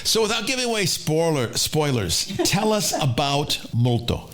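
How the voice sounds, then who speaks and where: A man's voice: fairly high pitch, very animated, normal speed, background noise. A man hosting an interview, introducing his guest and asking questions. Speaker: podcast host and interviewer.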